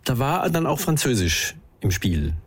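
A woman's voice: very deep voice, sing-song, measured pace, slightly rough. A woman hosting a conversational radio interview, asking questions and responding to her guest. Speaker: talk show host, interviewer.

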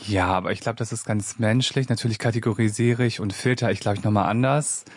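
A male fashion designer answers questions in a relaxed, reflective way. His voice sits on the lower side. The studio sound is close and dry. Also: quick pace, no room sound. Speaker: fashion designer, interview guest. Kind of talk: conversational interview. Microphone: studio microphone.

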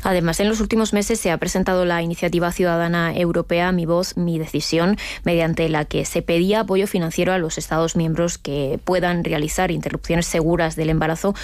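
Woman radio interviewer, calm scripted questions, kinda low voice, dry studio. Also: no room sound. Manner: measured, reads prepared questions.

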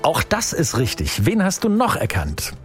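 A man's voice: a little high, huge pitch swings, normal speed, faint music behind. A man hosting a radio phone-in quiz, chatting in an upbeat, exclamatory way. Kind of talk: lively radio phone-in chat. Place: radio studio.

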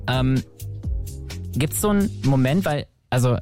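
A man hosts a casual music interview in a chatty, informal manner, rambling a little and dropping English slang. His voice sits at a middling pitch, with faint music behind him. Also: lively intonation, measured pace, darkish tone. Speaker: radio or show host interviewing a guest.

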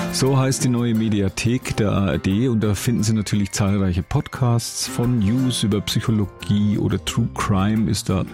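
A man presenting a live radio show from a studio, chatting casually and tossing quick questions to a reporter. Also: fairly low pitch. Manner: relaxed, conversational, quick questions. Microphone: studio microphone.

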